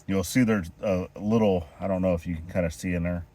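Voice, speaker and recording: Brief: a low-voiced male homestead vlogger, congested from a chest cold, walking through a repair as he works outdoors. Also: brisk. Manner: explains step by step while working, relaxed. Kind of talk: hands-on DIY how-to. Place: outdoors at a well house, kneeling on rocks.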